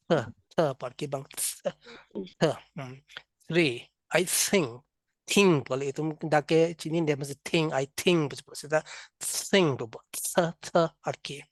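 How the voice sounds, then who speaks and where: A man with a Karbi accent teaching an English class, drilling words and sounds slowly and having students repeat. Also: a little high, no echo. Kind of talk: classroom lesson, mixing local language and English.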